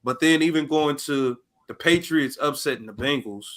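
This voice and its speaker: A man's voice: a little high, moderate pace. An American man co-hosting a casual sports chat, putting questions to the others in loose, slangy speech. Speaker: podcast co-host.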